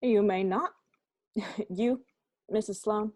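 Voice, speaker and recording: A woman's voice: medium pitch, slow, a little mellow, no room sound. A woman playing a probing, sardonic police captain in an amateur radio-style mystery. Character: brisk, wry police captain questioning suspects.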